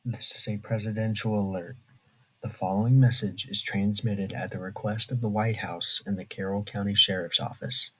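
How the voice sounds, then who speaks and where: A man's voice: lowish voice, fairly even intonation, normal speed, a bit bright, husky, rough recording. A man voicing an impersonal official emergency alert announcer, reading a formal scripted broadcast. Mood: stern.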